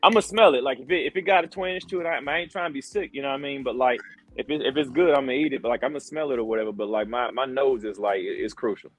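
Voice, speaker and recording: A man's voice: medium pitch, fast. Laid-back man in his forties. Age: 40s. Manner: laid-back, laughing, slangy storytelling. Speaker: podcast guest, wrestling commentator. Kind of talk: casual podcast chat.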